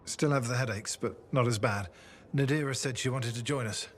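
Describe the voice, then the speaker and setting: An actor playing a quiet, reflective man with a troubled past in a video game. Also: mid-range voice, a little fast, lightly acted. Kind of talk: video game cutscene acting.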